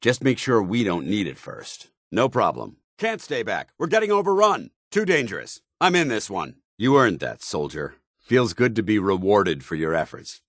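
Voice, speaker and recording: An actor playing a stern, loyal soldier in a video game, speaking in a clipped, military, commanding manner. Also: male voice, low voice, a bit bright, dry recording, clean studio sound, exaggerated voice. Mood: calm, dutiful, then urgent, commanding. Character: stern, loyal male soldier, zealous armored paladin companion. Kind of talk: scripted video game companion dialogue.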